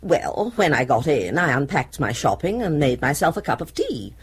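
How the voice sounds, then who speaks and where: A woman's voice: low voice, big pitch swings, normal speed, slightly rough, full character voice. A woman acting a prim, fussy middle-aged housewife in a radio drama, speaking formally and precisely. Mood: recollecting.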